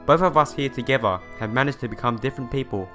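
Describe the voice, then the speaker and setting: A man's voice: mid-range voice, quick pace, sharp tone. A young man playing a visual novel for viewers, reading aloud and commenting in an informal, earnest way. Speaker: let's player. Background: game music.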